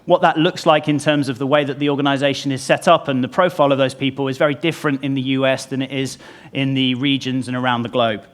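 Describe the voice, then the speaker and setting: A man's voice: medium pitch, fairly even intonation, quick pace. A man speaking on stage at a conference into a lapel mic, talking in a conversational way with many ums and restarts. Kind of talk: conference presentation. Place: conference stage before an audience. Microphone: lapel mic pack.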